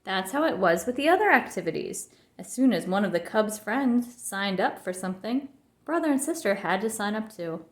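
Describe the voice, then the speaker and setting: A woman's voice: medium pitch, lively intonation, normal speed, reverberant. A woman narrating a children's storybook as a scripted read-aloud.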